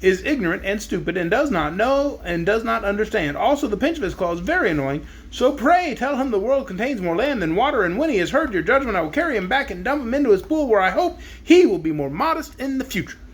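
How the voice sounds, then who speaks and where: A man doing a haughty high-voiced zebra. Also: sing-song, fast, hardly any pauses. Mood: indignant. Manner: indignant, haughty. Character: proud, touchy male zebra.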